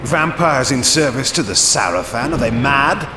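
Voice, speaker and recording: An ancient, arrogant vampire lord from a video game, acted by a man. He speaks with sneering theatrical grandeur and very mobile pitch. The sound is echoey, with noise behind him. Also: lively intonation, normal speed, natural voice. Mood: contemptuous.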